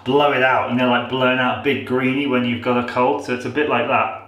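A man's voice: mid-range voice, fairly even intonation, brisk, a bit bright. A nasal-voiced man in his thirties talks loosely and jokes at his own expense as he demonstrates something in a small tiled bathroom. His voice bounces off the hard walls, and a tap runs behind him. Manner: chatty, self-mocking, rambling asides. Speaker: vlogger. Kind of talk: casual how-to demo. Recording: echoey bathroom. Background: running tap water.

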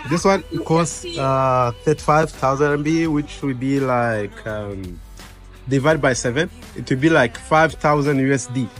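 A man's voice: mid-range voice, measured pace, faint music behind. A young man vlogging in a shopping mall, explaining prices to the camera he holds at arm's length. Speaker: vlogger host. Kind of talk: casual tour narration. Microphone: phone camera at arm's length.

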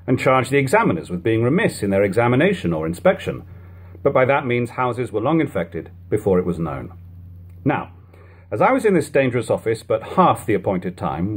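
A man reads an old literary narrative aloud in a measured, formal, dramatic way at home. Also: medium pitch, husky. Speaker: reader. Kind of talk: audiobook-style reading of an old literary narrative. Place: living room at home. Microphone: webcam or device camera at about arm's length.

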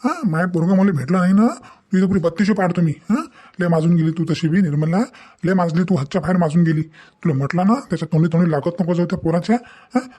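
A high but mellow-toned male voice rattles along as a domineering cartoon father acted by a man. He scolds and threatens in rustic Varhadi Marathi, hammering his phrases by repeating them. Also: fast talker. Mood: angry, scolding. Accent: Varhadi Marathi from Vidarbha, Maharashtra. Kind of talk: cartoon family comedy dialogue.

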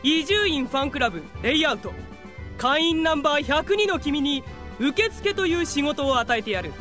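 A haughty rich teenage boy, boasts in grand theatrical fashion for an audio drama. The voice is very high and bright and swings widely in pitch. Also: expressive, moderate pace, faint music behind. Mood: haughty, showy. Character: pompous, boastful rich male high school student. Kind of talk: Japanese audio drama acting.

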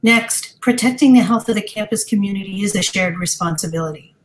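Woman dean, mid-pitched, measured scripted delivery over webcam. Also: rough recording. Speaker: dean and committee chair. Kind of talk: reading prepared remarks at a virtual town hall.

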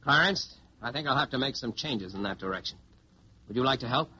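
A man's voice: mid-range voice, lively intonation, a little fast, bright, forceful tone, breathy edge, exaggerated voice. A man playing a brash, impatient American boss in a vintage radio drama, slangy and blunt. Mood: brisk, commanding. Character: brash, impatient modern American man bossing King Arthur's court. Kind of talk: 1940s radio acting. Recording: dull old radio broadcast recording.

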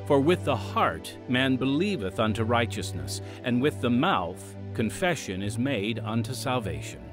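A man's voice: medium pitch, very animated, measured pace. A man with an American accent hosting a scripted radio program, earnest and emphatic in a polished announcer's delivery. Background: music.

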